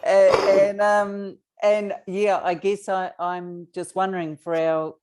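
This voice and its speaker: A woman with a New Zealand accent hosting a gentle interview over a video call, soft and supportive with hesitant restarts. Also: medium pitch, level tone, slow, clear, resonant tone. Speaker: podcast host.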